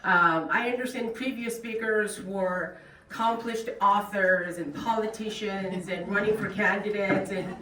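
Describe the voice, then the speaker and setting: A woman's voice: mid-range voice, steady tone, measured pace, a bit bright. A middle-aged woman from South Korea with a Korean accent, giving a plain-spoken, earnest speech as a guest speaker. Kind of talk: speech at a club meeting. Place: meeting room. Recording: echoey room, distant mic, faint noise.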